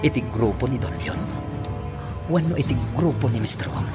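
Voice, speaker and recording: An actor playing a stern, threatening police chief in a radio drama, with dramatic, emphatic delivery. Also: male voice, mid-range voice, lively intonation, normal speed, close to an everyday voice. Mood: brooding, suspicious. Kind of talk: Ilocano radio drama acting. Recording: loud music bed behind the voice.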